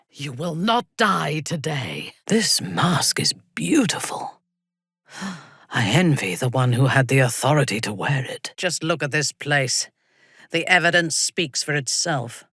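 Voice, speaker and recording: Menacing dark elf commander lady for a game, slow and deliberate, husky, super dry close sound, cold and bossy. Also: sits low, big pitch swings, no echo, heavily acted. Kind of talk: dramatic video game voice acting.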